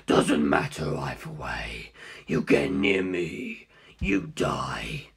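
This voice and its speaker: A man voicing an arrogant, immortal super-being in a low, breathy whisper, slow and menacing, for a story reading. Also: expressive, exaggerated voice. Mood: dismissive menace.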